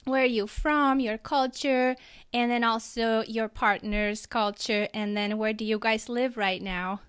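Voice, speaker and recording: A woman's voice: fairly high pitch, steady tone, unhurried, fairly bright tone, clean tone. A woman hosting a podcast, interviewing in a casual, enthusiastic way. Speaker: podcast host.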